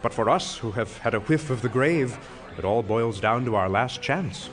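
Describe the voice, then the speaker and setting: An actor playing a pompous, self-dramatizing retired philosophy professor in a stage comedy, speaking in wordy, self-mocking speeches. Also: male voice, medium pitch, normal speed, faint music behind, natural voice. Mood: confessional, wistful. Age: older. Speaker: actor in an audio play.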